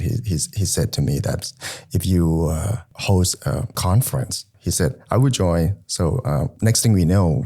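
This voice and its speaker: Middle-aged male guest, very low register, halting delivery full of repeats. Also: mellow, breathy edge. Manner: hesitant, frequent repeats and "uh" fillers. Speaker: type foundry founding partner, guest. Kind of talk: casual podcast conversation.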